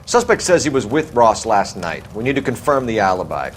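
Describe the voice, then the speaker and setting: A man's voice: medium pitch, very animated, a little fast, a little hiss or hum, lightly acted. An American man in his thirties playing a clipped, authoritative 1940s police detective in a video game. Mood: businesslike. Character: brisk, accusing young 1940s police detective. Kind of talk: dramatic video game acting.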